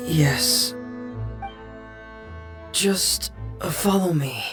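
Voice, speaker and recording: A woman playing a shy, secretive young teenage boy in a video game, hesitant and halting in speech. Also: sits low. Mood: uneasy, guilty. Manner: hesitant, halting, soft-spoken. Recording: music behind the voice. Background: background music.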